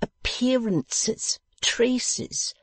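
A reader voicing a pompous, condescending English gentleman host who talks slowly, loudly and overenunciates as if to a deaf child. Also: very high voice, lively intonation, no room sound, pitched above the performer's own voice, heavily acted.

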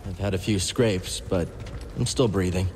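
Low-voiced, earnest young Jedi played by an actor. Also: male voice, normal speed, darkish tone, slight background noise, close to an everyday voice. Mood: understated. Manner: plain, understated. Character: earnest young Jedi man, understated and weary. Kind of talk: video game cutscene acting. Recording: reverberant game scene with music.

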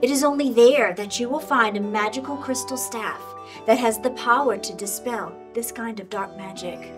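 A woman's voice: a little high, normal speed, close to an everyday voice. A middle-aged woman playing an earnest royal scribe and storyteller in children's theater, reading in a storybook manner. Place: indoor costumed stage set, camera filming. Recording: music under the voice.

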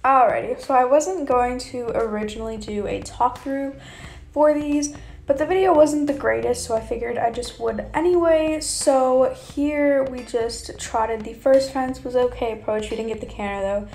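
A woman's voice: high voice, moderate pace, darkish tone. A young woman giving a chatty, informal vlog voiceover as a horse rider. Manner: chatty, informal, hedging with kinda and you know. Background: background music.

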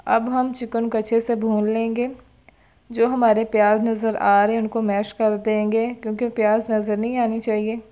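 Calm woman narrating a home recipe. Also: fairly high pitch, normal speed. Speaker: home cooking channel host. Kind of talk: step-by-step recipe voice-over.

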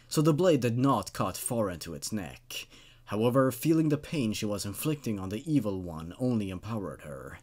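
A man's voice: on the low side, darkish tone. A man in his twenties hosting as a reader and reviewer of horror stories, moving between dramatic reading and casual commentary. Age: 20s.

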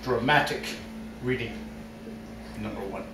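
A man in his thirties giving a mock-serious dramatic reading in a deliberate, theatrical manner with long pauses, in a living room. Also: lowish voice. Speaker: talent show performer. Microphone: far camera. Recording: distant camera, roomy echo, noisy background.

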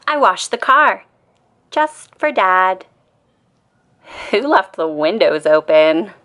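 A woman reading a children's picture book aloud as an expressive, deliberate bedtime story. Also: mid-range voice. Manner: deliberate, acts out quoted lines in a child-directed way.

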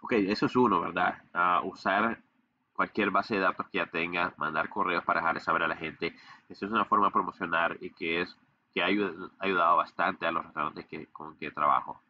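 A man's voice: low voice, fairly even intonation, a little fast, creaky, lo-fi sound, slight background noise. A young man who runs a digital marketing agency, giving a casual screen-share tutorial with lots of fillers and restarts, recorded on a webcam. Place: indoor room, webcam in corner of screen recording.

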